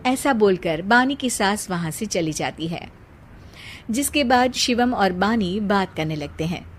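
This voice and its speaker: Female narrator, cartoon storytelling, scripted, mid-range pitch. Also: animated, measured pace, a little hiss or hum.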